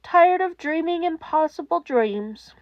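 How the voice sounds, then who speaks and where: A fragile young boy, as a woman acts him out for an audiobook, timid and despairing. The voice is high and soft, cracking as it stammers, with very lively swings of pitch in a close, dry recording. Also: no echo, dull audio. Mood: weary, despairing. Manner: halting, stammering. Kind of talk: audiobook character acting.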